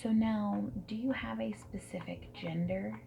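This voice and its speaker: A woman playing a friendly, non-judgmental dating service matchmaker in a gentle, soft-spoken and whispered ASMR roleplay. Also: medium pitch, fairly even intonation, gravelly, a little hiss or hum. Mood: gentle, businesslike. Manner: gentle, reassuring, asks questions and pauses for answers. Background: mechanical keyboard typing and clicks.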